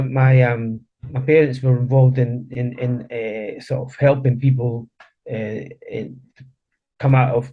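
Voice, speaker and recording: Middle-aged man telling his story, dull sound. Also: medium pitch. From Chile. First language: Spanish. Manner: halting, circling back to restate phrases. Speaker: interview guest. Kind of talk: conversational personal storytelling.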